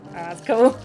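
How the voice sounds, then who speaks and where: A woman streaming a video game with casual, chatty commentary. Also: high-pitched. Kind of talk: casual game commentary, reading dialogue aloud. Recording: game music behind the voice. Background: video game music.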